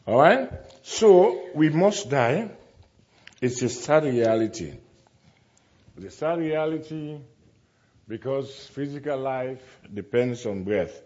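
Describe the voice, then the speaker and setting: A man's voice: mid-range voice, big pitch swings, takes it slowly, a little mellow, highs cut off. A man preaching a sermon to a congregation, speaking emphatically, repeating phrases and putting rhetorical questions to his listeners.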